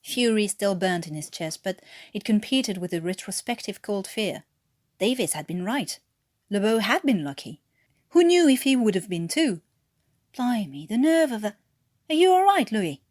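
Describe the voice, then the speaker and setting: A woman from France narrates fiction expressively, her pitch moving a lot around a medium register. Her French accent leans toward an attempted English one. The recording is close and dry. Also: sing-song, normal speed, a little mellow, no echo. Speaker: amateur podfic narrator. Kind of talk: expressive scripted story narration.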